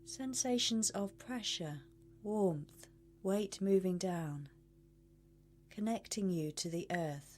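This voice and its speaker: A woman leading a guided meditation as a coach, speaking in a measured, soothing way with long pauses. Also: mid-range voice, darkish tone, slight background noise.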